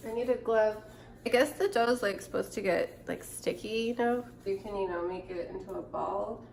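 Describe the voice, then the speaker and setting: A woman's voice: mid-range voice, normal speed, gravelly, darkish tone, slight background noise. A woman in her twenties with a stuffy, nasal voice from a cold, chatting casually and thinking aloud as she cooks in her home kitchen. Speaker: cooking vlogger. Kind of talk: casual cooking vlog. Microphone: camera or phone close up.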